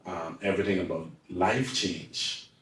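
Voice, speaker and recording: A man's voice: sits low, fairly even intonation, unhurried, a bit bright. A man with a Turks and Caicos accent telling his life story as an interview guest, emphatic and often repeating his phrases. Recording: echoey room, distant mic.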